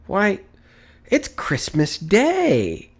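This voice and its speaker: Young storybook boy, bright-eyed, cheeky and amazed, raised lively voice, acted by a male narrator. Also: high voice, very slow, voice pushed up, full character voice. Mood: wondering, eager. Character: eager young street boy, wondering and cheeky. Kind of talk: dramatic storybook reading.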